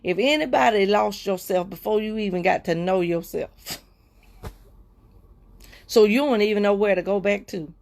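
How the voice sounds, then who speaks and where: A woman hosting a livestream, sharing a heartfelt personal testimony with viewers in a repetitive, preacher-like cadence, often slowing down and dropping to soft murmurs. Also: medium pitch, gravelly. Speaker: livestream host.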